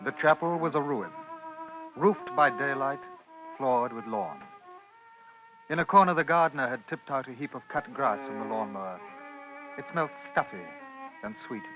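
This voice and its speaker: A man's voice: medium pitch, animated, close to an everyday voice. A man as brooding British narrator over music. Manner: deliberate, poetic phrasing. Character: brooding, literary male storyteller of a suspense tale. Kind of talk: vintage radio drama narration. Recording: dull vintage radio recording.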